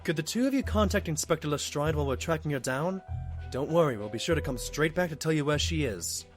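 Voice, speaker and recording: A man's voice: on the high side, expressive, quick pace, faint music behind, natural voice. Man as lighter-voiced, inquisitive pony sidekick. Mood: reassuring. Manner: courteous, reassuring, plain-spoken. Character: polite, inquisitive male pony detective's companion. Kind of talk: audio drama acting.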